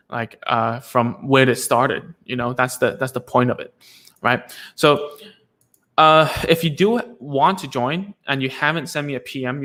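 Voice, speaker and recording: Male host of a live Q&A stream, mid-pitched and loose, talks in rambling restarts. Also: bright, forceful tone. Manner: rambling, many fillers and restarts. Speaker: speech therapist hosting a live stream.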